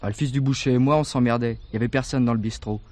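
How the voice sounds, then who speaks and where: An actor as a fast-talking cheeky young lad. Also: male voice, medium pitch, steady tone, a little mellow, natural voice. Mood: offhand, grudging. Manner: slangy, insolent, offhand. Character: cheeky, defensive working-class teenage lad with slangy speech. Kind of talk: French radio drama dialogue.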